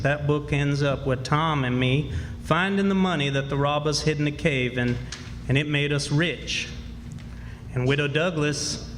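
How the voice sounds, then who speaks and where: A man acts a rustic, plain-talking river boy in a radio play. The voice sits a little high and rambles through homespun, ungrammatical storytelling. It carries hall reverb with faint noise behind it. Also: lightly acted. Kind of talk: stage radio drama, first-person folksy storytelling. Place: live stage in a hall. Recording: echoey hall stage, background noise.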